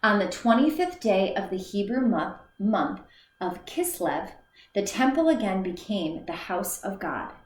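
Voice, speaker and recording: Slow, deliberate woman teacher reading aloud. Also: medium pitch, very animated, a little mellow. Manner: slow, careful read-aloud with small slips and restarts. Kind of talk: reading a children's book aloud. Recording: echoey room.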